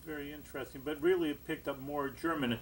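A man's voice: fairly high pitch, fairly even intonation, fast talker, a little hiss or hum, low-quality recording. A middle-aged man, a rabbi hosting a TV studio interview, speaking conversationally and searching for words. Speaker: rabbi, host.

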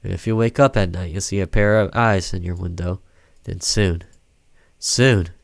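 A man's voice: low-pitched, normal speed, gravelly, dry recording, studio quality. A man voicing an ominous documentary announcer who gives grave warnings in a horror game. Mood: foreboding.

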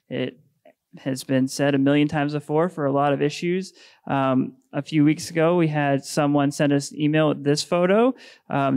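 A man's voice: a little high, gravelly, clear, resonant tone, dry recording, clean studio sound. A man in his thirties, a waterkeeper, giving a casual, encouraging talk at a public forum. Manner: casual, encouraging, many fillers.

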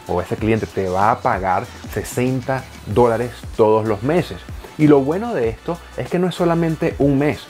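A man in his thirties talking directly to the viewer from a home office, animated and gesturing, explaining step by step. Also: medium pitch. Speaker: online business youtuber. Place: home office with bookshelves, indoors.

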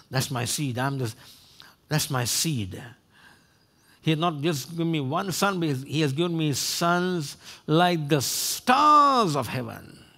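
A man's voice: a little high, fairly bright tone. A man preaching a sermon emphatically, repeating phrases for effect, on a headset mic. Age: 60s. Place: church stage, indoors.

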